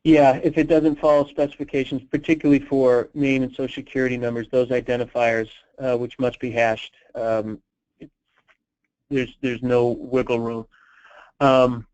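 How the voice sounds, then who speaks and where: A man's medium-pitched voice comes over a dull, lo-fi conference line. He keeps a flat, even intonation as he fields questions. His delivery is unscripted and halting, in the explanatory manner of a program briefing. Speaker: presenter answering callers' questions on a conference call. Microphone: telephone conference call.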